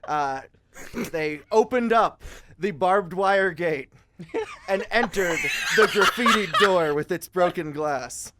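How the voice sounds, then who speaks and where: A man narrating an improvised comic story in a halting, amused manner. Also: sits high, bright, forceful tone, a little hiss or hum.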